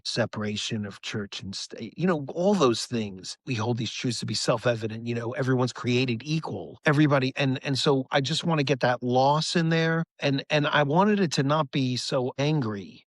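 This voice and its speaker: A man's voice: mid-range voice, dry recording. An American man talking casually as an interview guest, earnest and rambling, often repeating a phrase as he tells his story. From United States. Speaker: rock musician, interview guest.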